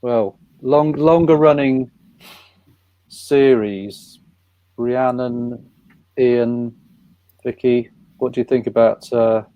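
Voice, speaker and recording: A man's voice: mid-range voice, fairly even intonation, takes it slowly, long pauses, no echo, phone-quality sound. A man moderating a casual panel, putting questions in a conversational, slightly hesitant way. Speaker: panel host.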